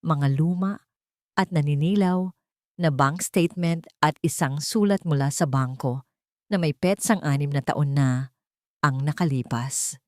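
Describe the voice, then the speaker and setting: A Filipino woman reads a scripted dramatic story. Her voice sits fairly low. The sound is dry, free of room echo. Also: unhurried, darkish tone. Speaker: story narrator. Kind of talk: scripted dramatic audiobook narration.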